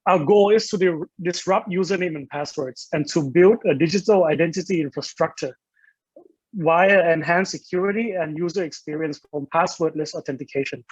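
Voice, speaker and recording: Founder from Thailand pitching on a video call, higher male voice, dry lo-fi call audio, steady with frequent ums. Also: moderate pace, breathy edge, no echo. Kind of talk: online startup pitch.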